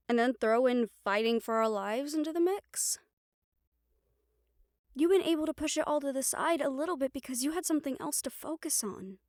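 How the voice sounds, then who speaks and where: A woman playing a gentle, caring young banshee in an intimate audio roleplay, speaking softly and soothingly, with some phrases trailing into a whisper. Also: high voice, no echo, clean studio sound. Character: gentle young female banshee from Puerto Rico, caring crush. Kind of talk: intimate audio roleplay acting, one-sided conversation.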